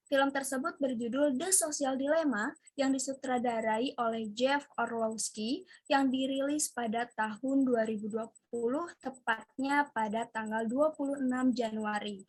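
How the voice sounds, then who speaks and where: A woman's voice: on the high side, no echo. Chatty young woman hosting, dry sound. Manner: chatty, friendly and curious. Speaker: host. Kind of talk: casual student interview.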